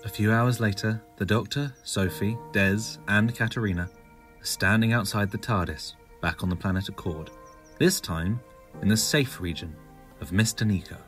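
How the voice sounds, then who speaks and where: A man's voice: on the low side, normal speed, a bit bright, faint music behind. A man reading scripted narration for an audio drama.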